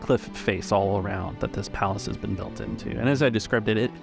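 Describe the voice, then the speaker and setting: A man runs a tabletop game as its narrator. His voice is fairly low with a lot of fry, and he builds descriptions in halting, searching phrases. Faint music plays underneath. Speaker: game master.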